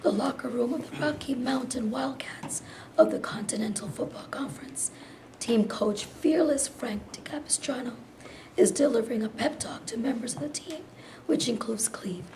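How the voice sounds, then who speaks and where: A woman with a soft voice reading stage directions as scripted narration. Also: a little high, normal speed, whispered, rough recording.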